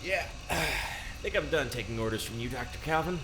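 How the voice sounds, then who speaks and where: A man's voice: medium pitch, lively intonation, normal speed, natural voice. A man playing a wry, defiant, weary station worker in an audio drama, speaking in a dry, hesitant, sardonic way. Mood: weary defiance. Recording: humming vehicle-like background noise.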